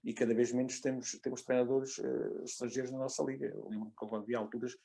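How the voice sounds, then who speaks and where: A man with a European Portuguese accent giving his view as a football guest, halting and repeating words as he argues. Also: mid-range voice, level tone, gravelly, mellow. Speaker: football guest, former player. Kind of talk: casual panel discussion.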